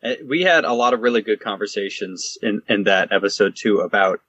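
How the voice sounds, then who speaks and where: A man co-hosting a podcast in casual conversation, halting and repeating words as he goes. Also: on the low side, fairly bright tone, husky, no echo, dull audio. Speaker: podcast co-host.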